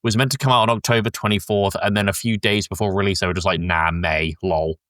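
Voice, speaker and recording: A man's voice: fairly low pitch, steady tone, fast talker, a bit bright, dry recording, studio quality. A man hosting a casual, informal film discussion, explaining things in a geeky way with some swearing. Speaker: podcast host.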